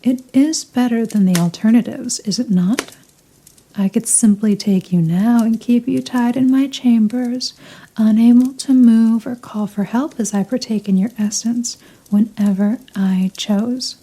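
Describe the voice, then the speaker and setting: Woman doing a rich vampire lady for asmr roleplay, super mellow and slow, teasing, possessive, kinda formal and seductive. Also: fairly high pitch, fairly even intonation, rough recording. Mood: coaxing, menacing. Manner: slow, teasing, formal, softly commanding, breathy murmurs. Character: seductive, possessive female vampire, wealthy and dominant. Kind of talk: ASMR roleplay, scripted intimate monologue.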